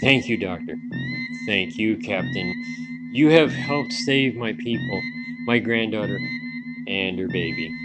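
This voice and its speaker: Guy doing an old alien elder, dignified, heartfelt, really swoopy pitch, loud music. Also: huge pitch swings, unhurried, pauses often. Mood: grateful. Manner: formal, heartfelt. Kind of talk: sci-fi audio drama acting.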